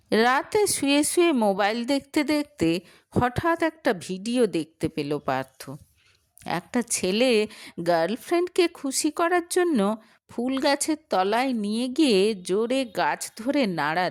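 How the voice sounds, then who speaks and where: A woman's voice: a little high, dry recording, clean studio sound. A woman reading a scripted story as a narrator, in a dramatic storytelling style.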